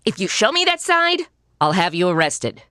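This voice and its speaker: Actress playing a sassy, lively American wisecracker. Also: female voice, fairly low pitch, moderate pace, background noise. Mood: sarcastic. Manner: sarcastic, modern slangy delivery. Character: sassy modern young American woman tourist, flippant, wisecracking. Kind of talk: comedy audio drama acting.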